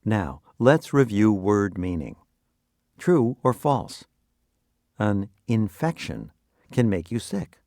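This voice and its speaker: A man presenting scripted educational quiz questions and answers with deliberate, instructional phrasing. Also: lowish voice, very animated, dark tone, no echo, clean studio sound.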